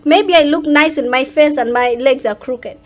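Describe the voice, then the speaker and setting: A woman's voice: sits high, moderate pace. A woman with a Ugandan accent, talking in an outspoken, emphatic way as a guest in a casual interview. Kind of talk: animated casual interview talk.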